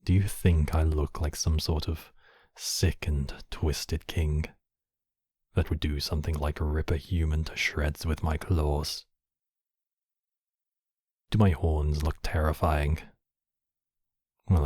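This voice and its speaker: A man's voice: rumbling low, darkish tone, husky, no echo, studio quality, heavily acted. A man playing a commanding yet compassionate demon king, speaking in formal, regal phrases in an intimate ASMR roleplay.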